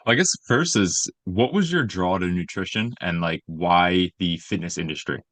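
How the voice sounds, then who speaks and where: A man's voice: low-pitched, animated, moderate pace, a bit bright, no echo. A man hosting a podcast, greeting his guest and asking brief, casual questions. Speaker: podcast host. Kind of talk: podcast interview.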